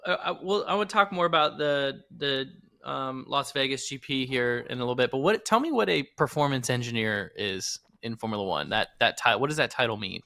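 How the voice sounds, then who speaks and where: A man's voice: mid-range voice, animated, a little fast, vocal fry, pushed and bright. A man hosting a talk show in a casual, conversational interviewing style.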